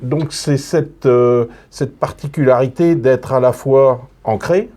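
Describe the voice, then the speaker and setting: Man from the north of france, dark mellow tone, stumbling radio interview answers. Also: medium pitch, slow. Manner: hesitant, many repeated words and restarts. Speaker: guest, retired police officer. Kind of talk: radio interview, reflective storytelling.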